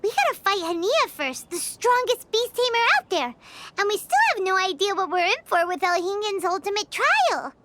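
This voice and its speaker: A woman voicing a small, chatty, excitable floating fairy companion in a video game, with a squeaky childlike voice. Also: normal speed, heavy background noise. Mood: eager. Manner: excitable, speaks of self in third person. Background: game music.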